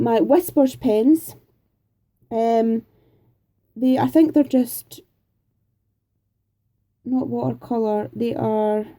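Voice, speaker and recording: A woman's voice: fairly high pitch, mellow. A Scottish woman in her forties chatting casually and rambling a little as she answers viewer questions. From Scotland. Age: 40s. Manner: chatty, rambling, homely, with frequent fillers. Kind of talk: casual chat.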